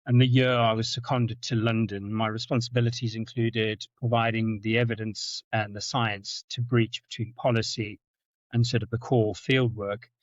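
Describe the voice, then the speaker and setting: A middle-aged man with a Zimbabwean-South African accent, telling stories as a podcast guest, often repeating words and jumping up in pitch for anecdotes. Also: level tone, moderate pace, no room sound. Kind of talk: storytelling in conversation. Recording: dull, dry lo-fi sound.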